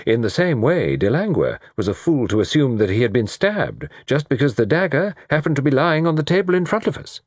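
Guy doing a fussy old british gent from a storybook, theatrical, voice swooping up high, bit husky. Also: sing-song, fairly quick, few pauses, a little mellow, no room sound, studio quality. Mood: self-satisfied. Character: excitable elderly English gentleman, fussy, precise, theatrical.

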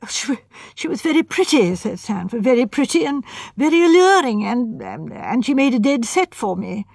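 A woman's voice: sing-song, moderate pace, gravelly, a little mellow, no echo, clean studio sound, full character voice. A woman voicing a nervous, distraught young male architect who stammers and pleads. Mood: miserable. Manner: stammering, high-strung, pleading.

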